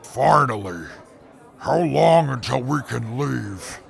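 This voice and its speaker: Man voicing a pompous schemer, rough gravelly voice, slow deliberate speech, audio drama. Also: mid-range voice, expressive, heavily acted. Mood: haughty. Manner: haughty, archaic indignant phrasing. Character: pompous grandiose man scheming to build a city.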